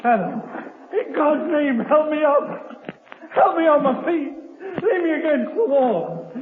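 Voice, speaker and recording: High-pitched pleading wounded crook. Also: male voice, animated. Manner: halting, pleading, melodramatic. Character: wounded, desperate male crook, pleading and fearful. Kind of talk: 1930s radio drama acting. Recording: muffled, crackly 1930s radio recording.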